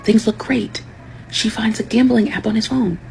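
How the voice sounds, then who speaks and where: A woman's voice: fairly high pitch, normal speed, creaky, darkish tone, soft background music, low-quality recording. A woman recapping a story in a sardonic, rhythmic way. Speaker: commentator.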